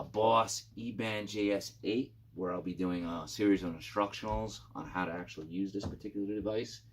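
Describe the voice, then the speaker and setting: A man with a very low voice talks casually, like a host walking viewers through equipment. His delivery is informal and a bit halting. There is some faint noise behind him. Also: a little mellow. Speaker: YouTube channel host. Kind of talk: casual gear overview for guitarists.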